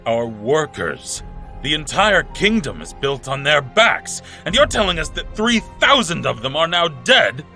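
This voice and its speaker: An actor playing a stern, blunt royal advisor in an audio drama, accusing in manner. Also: male voice, a little high, very animated, measured pace, sharp tone, soft background music, full character voice. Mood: stern, angry.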